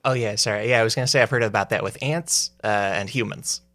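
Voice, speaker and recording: A man's voice: on the low side, quick pace, sharp tone. A man co-hosting a casual podcast chat, asking curious questions and making wry asides. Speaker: co-host.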